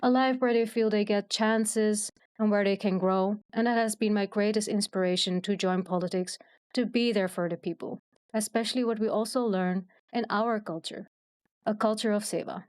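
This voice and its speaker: A woman from the Netherlands, speaking earnestly and in measured phrases as an interview guest. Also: mid-range voice, fairly even intonation, a little mellow, smooth. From Netherlands, Amsterdam. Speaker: guest, politician and children's doctor. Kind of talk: interview answers, earnest personal account.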